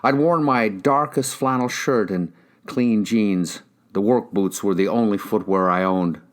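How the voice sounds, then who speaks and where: An American man with a low voice reads a crime novel aloud. He narrates in the first person, as an actor would for an audiobook. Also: unhurried.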